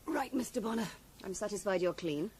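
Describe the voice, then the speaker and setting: A woman's voice: medium pitch, animated, brisk, a little mellow, slight background noise, close to an everyday voice. An actress playing a cool, guarded woman in a radio drama, composed, formal and matter-of-fact. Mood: guarded, frank.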